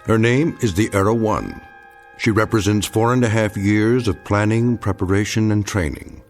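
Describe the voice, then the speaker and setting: A deep, solemn radio drama narrator. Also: male voice, a little mellow. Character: grave male story narrator. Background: music.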